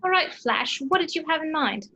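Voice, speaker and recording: Casting: woman as doubtful young girlfriend in a comedy film read, high voice. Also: lively intonation, moderate pace, sharp tone. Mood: sceptical. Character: sceptical, reluctant young woman. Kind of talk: amateur table read.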